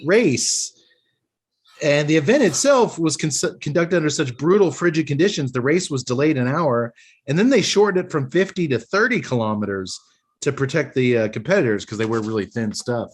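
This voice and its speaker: A male podcast host talks with a lively, swinging pitch. He reads out a news item in a joking, wisecracking way, riffing with his friends between sentences. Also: slightly rough. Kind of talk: casual comedic banter.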